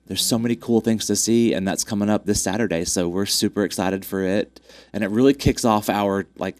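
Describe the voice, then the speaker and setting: A man's voice: on the low side, fairly quick, a little mellow, no echo. A man with a congested voice, chatting upbeat about upcoming races with lots of fillers. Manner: upbeat, promotional, many fillers. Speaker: track club representative. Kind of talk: casual interview chat.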